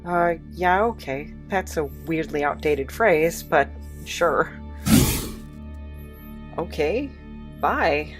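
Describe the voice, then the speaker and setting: Female actor as meek awkward apprentice boy, low slow voice, hesitant, deadpan, audio drama, faint music. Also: full character voice. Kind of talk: audio drama character acting.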